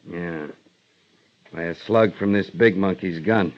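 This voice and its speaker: An American actor playing an unflappable police sergeant in a radio drama, laconic and folksy in manner. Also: male voice, low-pitched, slow. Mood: laconic, unruffled. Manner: laconic, folksy, matter-of-fact. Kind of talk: 1950s radio drama acting. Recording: muffled vintage radio recording.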